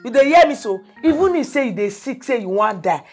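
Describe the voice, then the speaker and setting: A woman's voice: a little high, lively intonation, fairly quick, faint music behind. A woman with a Nigerian accent playing a jealous, bossy, threatening wife in a comedy skit. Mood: scolding.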